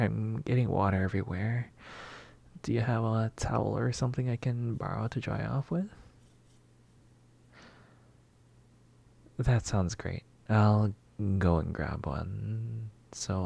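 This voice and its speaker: A man acting a shy, polite, flustered neighbor in a roleplay ASMR, hesitant, apologetic and breathy. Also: lowish voice, full character voice. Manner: hesitant, soft-spoken, apologetic, breathy sighs and whispers.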